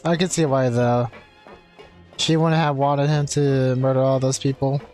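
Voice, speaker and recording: A man's voice: mid-range voice, flat delivery, measured pace, darkish tone. A man streaming a game, chatting casually and reacting as he plays. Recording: game music behind.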